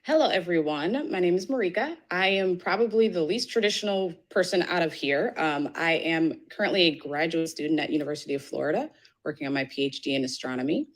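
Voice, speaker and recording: A woman's voice: on the low side, brisk. A young woman, an astronomy graduate student and business founder, introducing herself on a panel with confidence.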